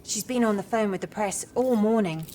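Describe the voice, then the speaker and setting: An actress playing a courteous, gently teasing female artificial intelligence assistant in a video game. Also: mid-range voice, normal speed, slight background noise, natural voice. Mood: dryly teasing. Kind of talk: video game cutscene acting.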